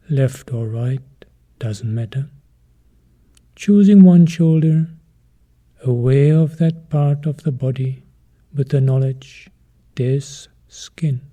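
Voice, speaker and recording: A man leading a guided meditation as a teacher, calm and measured, in short phrases with pauses between them. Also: medium pitch, lo-fi sound.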